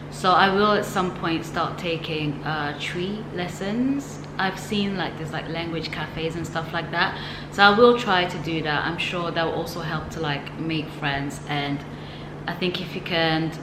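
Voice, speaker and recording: A woman talking casually to camera in a vlog, reflective and chatty, with light laughs and frequent fillers. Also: low voice. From from the West, now living in Ghana. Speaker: vlogger. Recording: echoey room with noticeable background noise.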